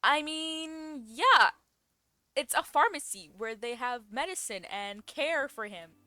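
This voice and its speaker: A woman's voice: sits high, animated, slow pace, pushed and bright, no room sound. A young woman giving casual, informal, reactive commentary as she plays a game. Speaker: game streamer. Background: game music.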